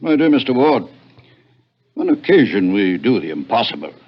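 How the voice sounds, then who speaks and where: A portly, crooked private eye, all unctuous courtesy, his husky voice swinging dramatically up and down. It is vintage radio mystery acting, heard on a muffled old recording. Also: lowish voice, sing-song, moderate pace. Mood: courtly disbelief. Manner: unctuous, courtly, formal phrasing. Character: very fat, shady, suavely courteous male private detective.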